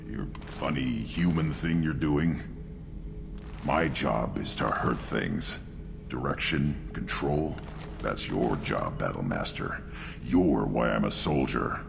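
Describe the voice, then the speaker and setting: An actor playing a huge, bloodthirsty but loyal alien warrior in a video game, with a guttural, gravelly voice and blunt, halting delivery. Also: male voice, rumbling low, noisy background, heavily acted. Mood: amused, loyal. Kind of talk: video game character acting.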